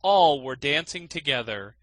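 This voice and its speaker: A man's voice: a little high, expressive, a little slow, a bit bright, no echo, poor audio. A man reading a scripted fairy tale as an audiobook narrator.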